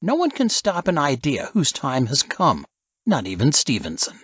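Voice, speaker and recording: A man's voice: fairly high pitch, huge pitch swings, normal speed, a bit bright, husky, dry recording, highs cut off, voice pushed up, exaggerated voice. A man voicing a scheming, lecturing man of grand ambitions in an audiobook, speaking emphatically and rhetorically. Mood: emphatic, insistent. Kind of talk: audiobook character dialogue.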